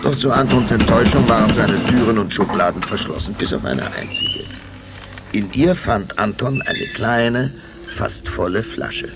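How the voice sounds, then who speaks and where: A man's voice: on the low side, huge pitch swings, normal speed, loud music behind the voice. A man narrating a children's radio play as a theatrical, suspenseful storyteller. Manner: suspenseful, theatrical, shifting to whispers.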